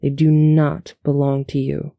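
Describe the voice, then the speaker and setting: A woman playing an older, devout alien woman, patient, principled and motherly, speaking in a measured, earnest way in a fantasy audio roleplay. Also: deep voice, completely flat delivery, vocal fry, dry recording, clean studio sound. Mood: earnest, tender. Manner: measured, earnest, gently admonishing.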